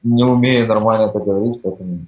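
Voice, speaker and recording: A man's voice: on the low side, fast. Man on an echoey, dull remote line. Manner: relaxed, explanatory, with frequent fillers. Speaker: guest living abroad. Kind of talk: casual interview chat.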